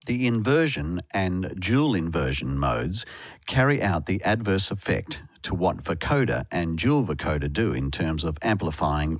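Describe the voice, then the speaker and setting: Deep-voiced man narrating a tutorial. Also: no room sound, clean studio sound. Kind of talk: scripted software tutorial narration. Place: close dry voice-over recording.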